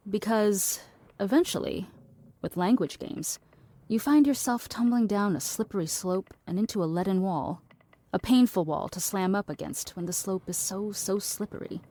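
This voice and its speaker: A woman playing a cynical, blunt philosophiser in an audio drama, deadpan, wordy and dismissive. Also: lowish voice, close to an everyday voice.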